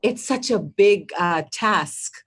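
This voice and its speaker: A woman's voice: mid-range voice, expressive, slow pace, fairly bright tone, breathy edge. A woman from Trinidad and Tobago with a Trinidadian accent, speaking earnestly as a healthcare advocate on a panel and often stumbling over repeated words. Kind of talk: passionate testimony on a panel.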